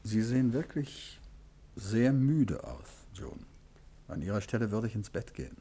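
An actor playing a calm, clever detective in a 1950s radio drama, coaxing and politely insistent. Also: male voice, on the low side, measured pace, husky, exaggerated voice. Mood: probing. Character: calm clever male detective, gently probing.

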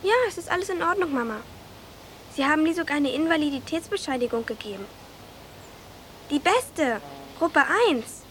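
A woman's voice: high voice, animated, moderate pace, pauses often, fairly bright tone, slight background noise. A woman playing an earnest, protective young peasant girl with a hot temper in a radio drama. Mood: eager, reassuring. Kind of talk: German radio drama acting.

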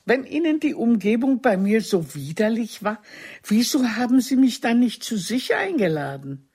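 A haughty, mocking woman of the stage in a radio play. Her speech is formal and cutting, with theatrical delivery that swings widely in pitch as she scorns a former lover. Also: very animated, moderate pace, darkish tone, breathy edge. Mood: dry reproach. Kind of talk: radio drama dialogue.

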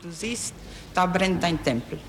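A woman's voice: on the low side, animated, measured pace, crowd noise. A woman recounting events as courtroom testimony in an archival recording. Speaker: trial witness. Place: large courtroom. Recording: reverberant archival courtroom, faint noise.

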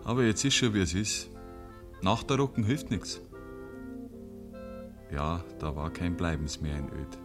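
A folksy Bavarian narrator of a village tale. His voice is on the deep side, with music underneath. He tells it wryly and dramatically, in the manner of 1950s radio. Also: fairly even intonation, unhurried, pauses often. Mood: dramatic, rueful.

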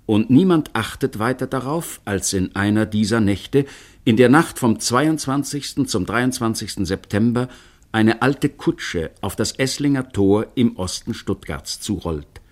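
A man narrating a historical radio play in a measured storytelling manner. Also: on the low side, animated. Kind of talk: scripted narration.

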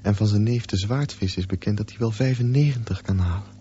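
A man's voice: fairly low pitch, a little fast, dark tone, lightly acted. An actor voicing an intense, defiant and bitter sixteen-year-old boy swimmer in a radio drama. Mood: dreamy, lyrical. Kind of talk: radio drama acting and narration. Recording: muffled, faintly noisy radio drama recording.

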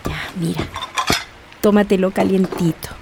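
A woman's voice: mid-range voice, steady tone, unhurried. A woman with a Chilean accent playing a worried, nagging wife and mother in a radio drama, fretful and scolding. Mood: concerned, insistent. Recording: clearly noisy radio play recording.

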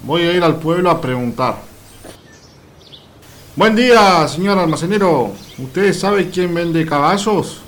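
A man's voice: on the high side, animated, slow pace, fairly bright tone, exaggerated voice. A man playing Pedro, a pensive, polite countryman who muses simply aloud in an amateur radio play. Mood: eager, polite. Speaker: amateur radio theatre actor. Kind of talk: radio theatre acting.

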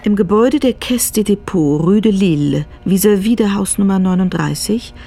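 A woman's voice: medium pitch, normal speed, warm tone. A woman narrating a scripted radio drama in a matter-of-fact manner.

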